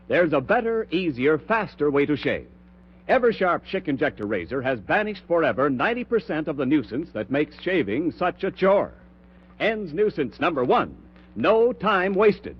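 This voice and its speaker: A man's voice: a little high, breathy edge. An American man announcing and reading ads on 1940s radio in an emphatic, polished sales manner. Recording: muffled 1940s radio recording, music.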